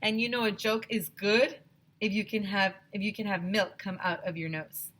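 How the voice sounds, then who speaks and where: A woman's voice: mid-range voice, moderate pace, slight background noise. A woman teacher reading a children's story aloud expressively and talking directly to her young listeners. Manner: animated, addresses children directly.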